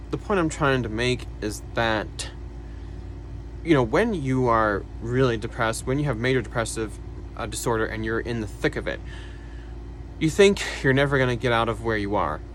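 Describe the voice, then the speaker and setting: A young man talking casually to camera about his own life, soft-spoken and hesitant, with many fillers and quiet sighs. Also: mid-range voice, a little hiss or hum. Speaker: vlogger. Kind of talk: casual personal talk to camera.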